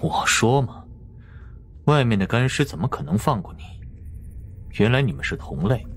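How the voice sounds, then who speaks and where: A man's voice: mid-range voice, expressive, moderate pace, creaky, breathy edge, voice pushed up. A man playing a cold, menacing fighter in an audio story, mocking and commanding as he interrogates.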